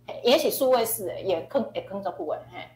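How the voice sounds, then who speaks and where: A woman's voice: a little high, lively intonation, fast, darkish tone. A woman with a Taiwanese accent teaching informally in an online question-and-answer session, explaining and repeating key phrases. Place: online video class. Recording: echoey online class audio.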